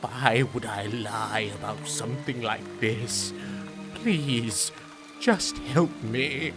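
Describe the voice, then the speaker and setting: An actor playing a sinister, manipulative villain in an audio drama, with a deliberate, imperious delivery. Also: male voice, mid-range voice, over music, close to an everyday voice. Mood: pleading. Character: sinister hypnotic male villain feigning distress, commanding and cruel.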